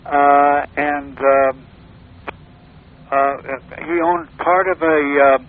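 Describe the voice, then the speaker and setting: A man's voice: a little high, fairly even intonation, very slow. A man reminiscing in casual chat, hesitant and often searching for words. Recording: noisy, tinny telephone-like line.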